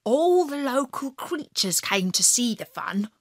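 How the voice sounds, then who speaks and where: A woman narrating a children's story in first person as a boastful hare, playful and lively. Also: a little high, measured pace, no room sound, clean studio sound.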